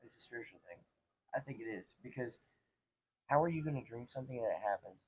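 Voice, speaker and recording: A man's voice: medium pitch, expressive, fast, a little mellow, slight background noise, low-quality recording. A man in his twenties telling personal stories in casual, slangy talk, earnest and repeating phrases for emphasis. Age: 20s. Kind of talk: casual conversational storytelling.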